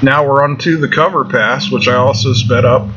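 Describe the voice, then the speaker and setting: A man's voice: mid-range voice, fairly even intonation, moderate pace, fairly bright tone. A middle-aged man giving a casual welding lesson in a home shop, talking in a plain-spoken, folksy way. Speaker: welding instructor, show host. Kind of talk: casual instructional voice-over. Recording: dull lo-fi sound with faint air conditioning hum.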